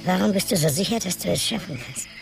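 An actor playing a small, sceptical, inquisitive creature with a creaky thin voice in a German radio drama. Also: medium pitch, animated, fast. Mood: sceptical questioning. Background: music.

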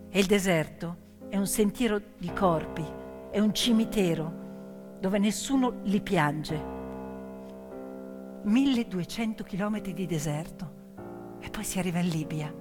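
Woman doing theatre storytelling, intense n dramatic, mid pitch, big hall reverb, music behind her. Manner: intense, emphatic delivery in short suspenseful phrases, swinging from cries to whispers. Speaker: stage actress and storyteller. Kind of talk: dramatic theatrical narrative monologue. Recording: long hall reverb, piano music underneath. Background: piano accompaniment.

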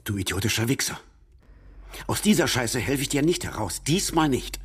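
A man's voice: mid-range voice, animated, moderate pace, breathy edge. An actor playing a bitter, exasperated male accomplice who scolds bluntly and curses in a German radio crime drama. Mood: angry, fed up. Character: bitter, exasperated war-veteran accomplice, a gruff man.

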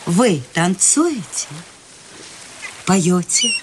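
A woman's voice: on the low side, sing-song, extremely slow, full character voice. An older woman actor playing an eccentric, cheerful, life-loving old lady in a radio play, playful and musing as she savors her words. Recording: clearly noisy radio play recording.

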